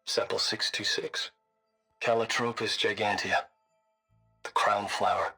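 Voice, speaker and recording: An actor playing an informal, nostalgic plant lover in a video game audio log, reminiscing in casual, clipped sentences. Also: male voice, on the low side, steady tone, a little slow, pushed and bright, faint music behind, full character voice.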